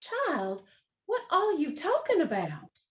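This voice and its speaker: Doting mother for radio drama, woman acting: extremely high, swooping voice, fretful, melodramatic. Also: wildly swooping pitch, takes it slowly, a bit bright, heavily acted. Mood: bewildered.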